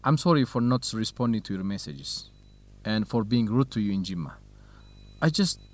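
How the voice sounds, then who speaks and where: A man's voice: lowish voice, expressive, moderate pace, lightly acted. An actor playing an earnest young male university student in love, speaking carefully in a radio drama for English learners. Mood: apologetic, hesitant.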